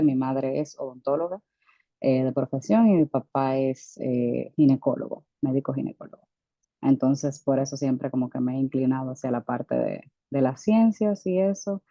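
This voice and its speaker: A woman's voice: low-pitched, dark tone, no room sound, lo-fi sound. A woman in her thirties, a dentist guest in an interview, telling her story with frequent hesitations and restarts. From United States, Dominican parents. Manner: hesitant, many fillers and restarts. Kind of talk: conversational interview.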